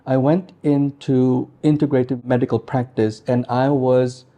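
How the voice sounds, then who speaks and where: A man in his forties from the Philippines, a doctor answering interview questions in a measured, explanatory way. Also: medium pitch, little pitch movement, warm tone, highs cut off. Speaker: integrative medicine doctor.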